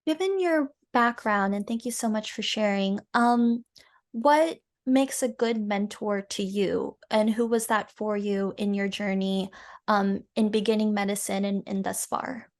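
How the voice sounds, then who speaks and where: A woman host introducing a guest and asking polite, measured questions. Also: mid-range voice. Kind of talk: scripted interview host.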